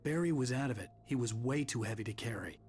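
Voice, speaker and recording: A male actor as a brooding thriller writer in a video game, telling his ordeal in the first person. The voice is mid-pitched, grave and weary. Light music plays behind it. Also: fast talker, darkish tone, lightly acted. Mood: grim. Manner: grave, introspective. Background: background music.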